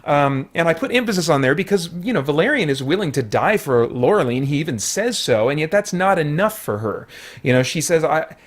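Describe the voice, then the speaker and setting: A man's voice: fairly high pitch, animated, brisk. A man giving a casual, unscripted movie review in a conversational way, often searching for words.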